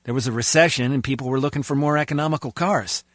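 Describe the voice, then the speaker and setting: A man's voice: medium pitch, fast talker, a bit bright, no room sound, clean studio sound, close to an everyday voice. An actor playing a gabby, opinionated henchman guard in comic video game banter. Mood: chatty.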